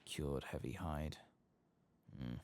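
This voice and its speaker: Male ASMR game streamer: extremely low, creaky voice, soft and murmuring, close-miked. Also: a little hiss or hum. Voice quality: soft, partly whispered. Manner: unhurried, murmuring to himself. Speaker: gaming streamer. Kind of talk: soft-spoken ASMR gameplay commentary.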